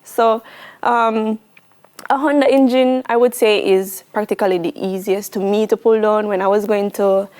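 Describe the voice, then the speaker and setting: A woman's voice: medium pitch, fairly even intonation, normal speed, a little mellow, clear, resonant tone. A twenty-year-old woman with a Jamaican accent talking casually and earnestly as an interview guest, often emphatic. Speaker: interview guest, young mechanic.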